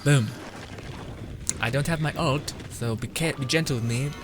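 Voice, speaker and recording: A young male streamer talks loosely through his play at a middling pitch. He rambles, cuts himself off and swears casually, with game music clearly heard behind him. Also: moderate pace. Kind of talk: casual gameplay commentary.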